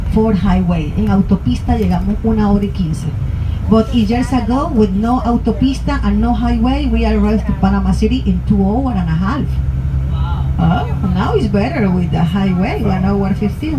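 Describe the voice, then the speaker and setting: A woman tour guide with a Latin American Spanish accent speaks at a middle pitch, explaining in two languages and posing questions as she goes. Clear vehicle noise runs behind her as she talks inside a bus. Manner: explains through rhetorical questions, switching between two languages. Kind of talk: bilingual guided tour commentary.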